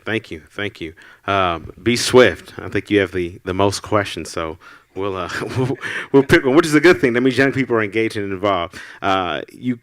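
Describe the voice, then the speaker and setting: Deep, smooth-voiced man moderating. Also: a bit bright, dry recording, studio quality. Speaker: forum moderator. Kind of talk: putting questions to a panel.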